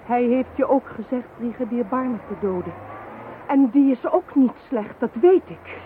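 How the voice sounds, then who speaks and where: An actress playing a determined, persuasive police inspector in a Dutch radio drama, speaking insistently and deliberately. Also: female voice, fairly high pitch, close to an everyday voice. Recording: muffled narrow-band phone-like audio, noisy.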